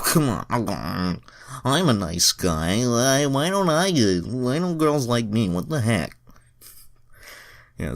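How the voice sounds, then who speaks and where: A man's voice: fairly low pitch, no echo. A man reading fan fiction aloud as an amateur narrator, breaking into irreverent, self-deprecating asides.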